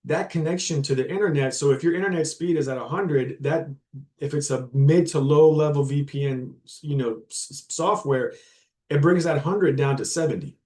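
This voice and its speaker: A man's voice: on the high side, moderate pace, darkish tone. Casual man giving a university talk. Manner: casual and conversational, with many fillers and repeated words; explains terms plainly for the audience. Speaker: guest lecturer. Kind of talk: informal university lecture to students.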